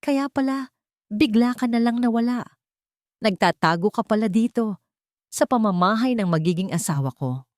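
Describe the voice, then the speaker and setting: A woman's voice: on the high side, expressive, normal speed, long pauses, a little mellow, dry recording, studio quality, pitched above the performer's own voice, close to an everyday voice. A woman voicing a jealous fiancée in a romantic audio drama, sweetly menacing, bitter and commanding. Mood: bitter, accusing. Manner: playful, syrupy sweetness laced with venom.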